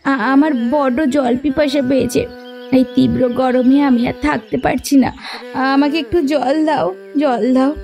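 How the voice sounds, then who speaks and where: A plain-spoken, long-suffering village woman from a fairy-tale cartoon. Her voice is fairly high and mellow, with a gravelly creak. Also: fairly even intonation, normal speed, faint music behind. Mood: weary.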